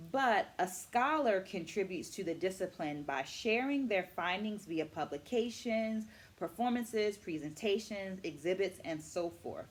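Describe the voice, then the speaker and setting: A middle-aged woman speaks in a slightly low-pitched voice. She explains things like an educator on a book vlog, choosing her words deliberately. Key points are set out with emphatic, instructional enunciation. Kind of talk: book review vlog, explanatory talk to camera.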